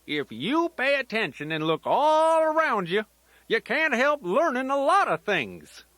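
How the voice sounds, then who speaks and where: A man's voice: extremely high pitch, sing-song, unhurried, sharp tone, dry recording, exaggerated voice. A man voicing a folksy, playful old grandfather teddy bear who rambles in a children's storytelling tape. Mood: gently teasing. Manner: folksy, rambling, sing-song rhyming asides.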